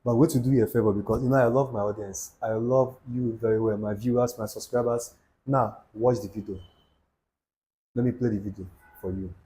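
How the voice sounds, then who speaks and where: A man's voice: mid-range voice, steady tone, fairly quick, dark tone, rough recording. A young Nigerian man with an Igbo accent, talking to camera in a preachy, repetitive way and dropping Pidgin asides. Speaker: YouTube channel host. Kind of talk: motivational talk to camera.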